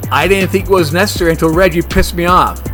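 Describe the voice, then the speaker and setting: An actor playing a fussy, germophobic monster hunter, blunt and bossy, in a comedy horror audio drama. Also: male voice, high voice, fast talker, soft background music. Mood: irritated. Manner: deadpan, argumentative.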